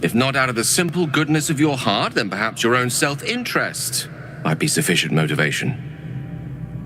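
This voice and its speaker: A man's voice: lowish voice, noisy background. An actor playing an earnest, genteel male wizard in a fantasy game, with a formal, wordy, courteous manner. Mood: persuasive, pleading. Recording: music under the voice.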